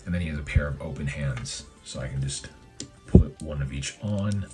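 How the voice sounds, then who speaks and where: A man with an extremely low, hoarse voice talks through a toy review in a loose, meandering way. He fills gaps with ums and likes and corrects himself as he goes. Faint music plays softly behind him. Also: normal speed. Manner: rambling, filler-heavy, self-correcting. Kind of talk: casual product review.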